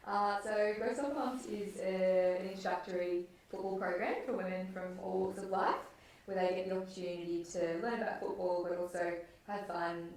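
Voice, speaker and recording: A young woman speaks from well off the mic, her low voice gravelly with fry, and the room gives her a heavy echo. She answers in a friendly but hesitant way, filling pauses with ums. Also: darkish tone, a little hiss or hum. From northern New South Wales, Australia. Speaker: guest, football program coordinator. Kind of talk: radio interview answers. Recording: echoey, distant from the mic.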